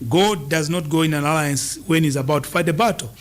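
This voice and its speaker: High-voiced emphatic man. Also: fairly quick. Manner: emphatic, campaigning, repeats key points. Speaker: political party leader as studio guest. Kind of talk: political interview answers.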